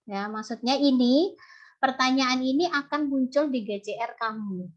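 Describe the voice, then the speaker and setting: A woman's voice: high-pitched, unhurried. A woman lecturer with a Javanese accent, teaching an online university class in a chatty, step-by-step way. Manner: chatty, explaining step by step with frequent "ya". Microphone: video call. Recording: muffled video call audio.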